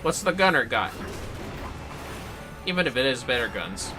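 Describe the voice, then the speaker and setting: A man's voice: mid-range voice, very animated, moderate pace. A man giving casual gaming commentary as a livestream host, muttering rambling asides to himself. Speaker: livestream host playing a game. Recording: music behind the voice.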